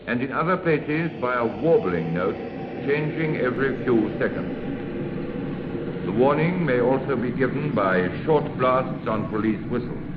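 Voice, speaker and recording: A man as a formal, authoritative wartime radio announcer reading official instructions in a measured manner. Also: medium pitch, echoey room. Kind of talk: 1940s wartime public information radio announcement. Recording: crackly tinny old radio broadcast. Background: music.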